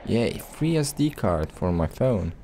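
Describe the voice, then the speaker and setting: A man's voice: on the low side, sing-song, measured pace, mellow. A man doing casual gameplay commentary with a hesitant, rambling, playful delivery. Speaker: let's play host.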